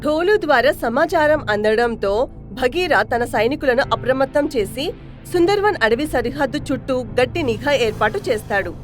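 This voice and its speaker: A woman's voice: high-pitched, animated, normal speed, soft background music. A woman reading scripted narration for a children's animal story.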